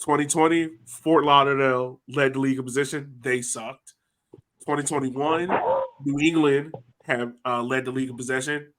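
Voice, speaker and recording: A man's voice: medium pitch, fairly even intonation, normal speed, a bit bright. A man co-hosting a soccer fan podcast, reading off statistics with a laugh in his voice. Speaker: podcast co-host. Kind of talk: casual chat.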